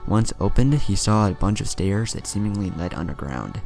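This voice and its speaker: A man narrating a fan fiction story in an expressive storytelling manner. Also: low-pitched, moderate pace, creaky. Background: music.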